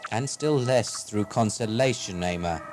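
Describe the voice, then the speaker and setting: An actor playing a hot-tempered, fanatical alien zealot in a science fiction audio drama, accusing and declamatory. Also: male voice, fairly low pitch, animated, unhurried, background noise.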